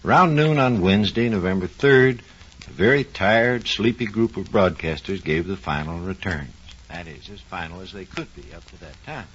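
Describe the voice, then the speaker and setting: A man giving scripted educational narration on 1940s radio in a formal, measured storytelling style. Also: sits low, slightly rough. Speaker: research engineer, guest narrator. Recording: muffled vintage 1940s radio recording.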